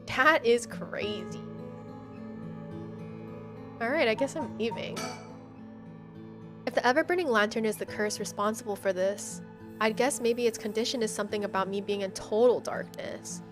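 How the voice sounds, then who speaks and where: High-voiced woman streaming over game music. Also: moderate pace, long pauses. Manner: casual, slangy reactions. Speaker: streamer playing a horror visual novel. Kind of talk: livestream let's play, reading game text aloud and reacting.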